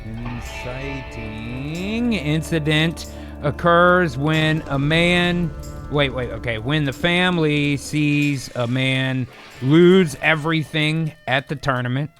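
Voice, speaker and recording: A man's voice: fairly high pitch, slow, fairly bright tone, faint music behind. A man hosting and narrating an improvised comedy screenplay in a casual, chatty way. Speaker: host, writer and narrator.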